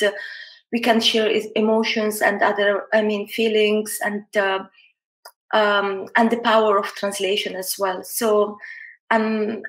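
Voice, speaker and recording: A woman from Somalia introducing herself and her work informally, hesitant, repeating words as she searches for them. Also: fairly high pitch. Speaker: writer, poet and playwright. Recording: roomy, echoey sound.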